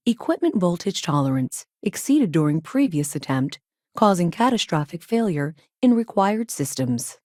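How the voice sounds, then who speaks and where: A woman playing an emotionless, logical female AI space probe in a video game, speaking in a clipped, formal, deadpan way. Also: sits low, no echo, studio quality. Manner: clipped, formal, deadpan, announces each statement type first. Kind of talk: scripted game dialogue.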